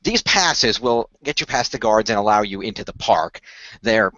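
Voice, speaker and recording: A man's voice: fairly low pitch, animated, moderate pace, pushed and bright, dry recording. Male actor as earnest company recruiter, formal and wordy, persuasive, radio roleplay, dry close sound, muffled lo-fi. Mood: reassuring, persuasive. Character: earnest male company recruiter pitching secret magical project. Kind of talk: improvised radio play roleplay. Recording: dull lo-fi voice recording.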